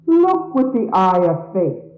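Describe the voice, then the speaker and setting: Slow-reading woman in a dull, echoey recording. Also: mid-range voice, very animated, a little mellow, faint music behind. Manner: solemn, measured, declamatory. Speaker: reader of religious messages. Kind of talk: scripted scripture reading, sermon-like.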